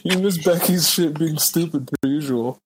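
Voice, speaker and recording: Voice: mid-range voice, normal speed, a little hiss or hum, poor audio. A podcast co-host in casual banter, telling stories in slangy language and acting out what people said.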